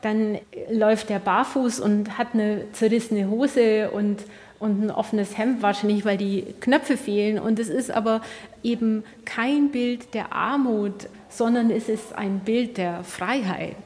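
Female curator, medium-pitched, unscripted guided-tour explanation, recorded in a big reverberant museum room. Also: steady tone, a little slow, a little mellow. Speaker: exhibition curator, interviewee. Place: large indoor museum gallery. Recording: very reverberant gallery hall.

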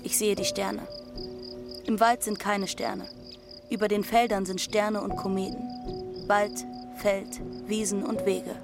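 A woman's voice: mid-range voice, little pitch movement, takes it slowly, creaky, music in the background. A woman playing a defiant teenage runaway girl who narrates her journey matter-of-factly in an audio drama. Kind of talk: first-person literary narration in audio drama.

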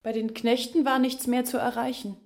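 A woman's voice: fairly high pitch, flat delivery, moderate pace, natural voice. An actress playing an earnest woman who reflects on her family's humiliation in a literary radio play. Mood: earnest, pained.

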